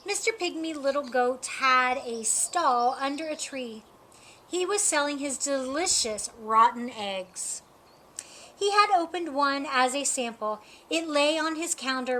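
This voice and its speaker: A woman reads a children's picture book aloud in a high, bright voice. She takes her time and reads expressively, with birds chirping behind her. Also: slight background noise. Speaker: storybook reader.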